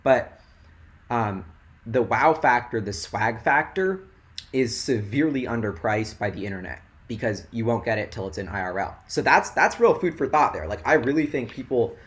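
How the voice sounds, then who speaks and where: A young man chats loosely on a livestream, tumbling from one excited thought to the next with filler words everywhere. His voice sits at an ordinary middle pitch and is wearing thin and hoarse. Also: normal speed. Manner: rambling and excitable, heavy "like" filler. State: voice going hoarse. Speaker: investing livestream host. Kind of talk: casual livestream chat.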